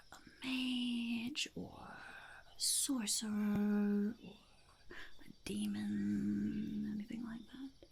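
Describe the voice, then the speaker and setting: A woman's voice: a little high, gravelly, a little hiss or hum, heavily acted. A woman voicing a fussy, impatient goblin boss in a whispered ASMR roleplay, muttering and repeating words. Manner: speaks of self in third person, repeats words, mutters approval.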